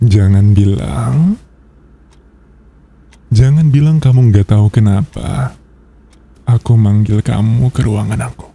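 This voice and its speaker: Man playing a dark, breathy possessive boss boyfriend. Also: lowish voice, exaggerated voice. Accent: Indonesian. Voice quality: soft, breathy, husky. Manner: slow, teasing, controlling, with drawn-out pauses. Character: possessive, jealous yandere male boss, menacingly sweet. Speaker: ASMR roleplay performer. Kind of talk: intimate boyfriend ASMR roleplay.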